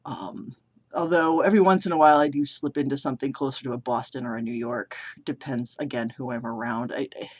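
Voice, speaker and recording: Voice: mid-range voice, steady tone, fairly quick. A vlogger from New England chatting casually to the camera, rambling and self-deprecating with many restarts. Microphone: camera mic.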